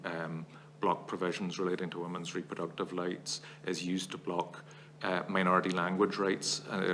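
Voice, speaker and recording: A man giving formal testimony as a witness before a parliamentary committee, laying out long arguments in a measured, analytical way. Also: low voice, fairly bright tone, slight background noise.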